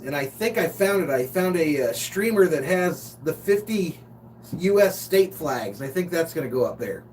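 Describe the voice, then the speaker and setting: Informal American man streaming, high-pitched, distant mic. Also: expressive, normal speed, a little mellow. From Los Angeles, United States. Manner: informal, chummy, reads out chat comments. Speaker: live stream sports host. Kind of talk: casual chat with viewers and game commentary. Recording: distant mic, noisy hum.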